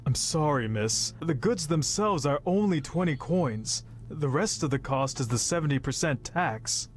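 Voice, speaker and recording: A courteous, apologetic fantasy shopkeeper. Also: male voice, a little high, lively intonation, unhurried. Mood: polite. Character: polite, apologetic, burdened male fantasy shopkeeper. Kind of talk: visual novel game voice acting.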